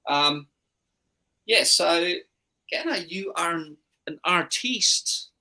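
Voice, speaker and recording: A man's voice: a little high, lively intonation, slow, pushed and bright. A man with an Australian accent with a hint of Scottish, hosting an easygoing, chatty stream. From Scotland. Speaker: host. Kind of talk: casual chat.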